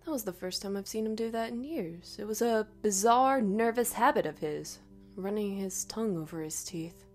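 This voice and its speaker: A woman narrating a scripted first-person horror story in a dramatic, expressive way. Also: medium pitch, normal speed, faint music behind.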